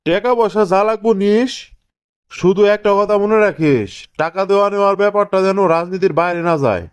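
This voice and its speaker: A calculating cartoon party boss, played by a man. His voice is smooth and on the high side, and he gives orders in colloquial Bangladeshi. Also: lively intonation, brisk. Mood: commanding. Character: domineering, calculating male party leader. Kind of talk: cartoon dialogue.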